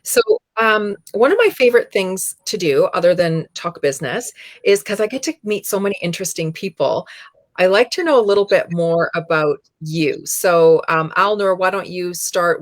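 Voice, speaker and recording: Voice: mid-range voice, moderate pace. An interview host who praises and introduces guests in an effusive, enthusiastic way, with many fillers. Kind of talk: business interview hosting.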